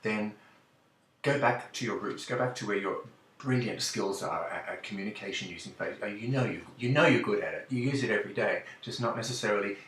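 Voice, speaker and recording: A man's voice: fairly low pitch, fairly quick, fairly bright tone, roomy sound, recorded from a distance, slight background noise, low-quality recording. A man speaking straight to his listeners in an earnest, encouraging way, with ums and restarts. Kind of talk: direct-to-camera talk.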